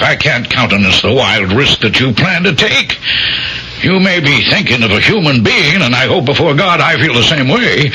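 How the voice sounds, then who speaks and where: A man's voice: fairly high pitch, bright, forceful tone, heavily acted, rough recording. A man acting a stern, formal hospital chief of staff in a radio drama, weighing his words. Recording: hissy old radio drama recording.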